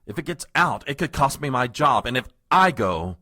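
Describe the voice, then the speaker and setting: A man's voice: mid-range voice, very animated, normal speed, bright, forceful tone, no echo, studio quality. An actor playing a self-important, married political aide in an audio comedy, nervously stammering and stiffly formal as he fends off trouble. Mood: anxious, secretive. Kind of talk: comedic audio drama acting.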